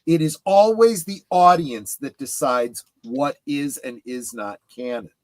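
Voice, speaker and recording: A man giving opinions in a casual panel chat, emphatic and deliberate, halting between phrases. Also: mid-range voice, dry recording. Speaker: guest co-panelist on a fan show.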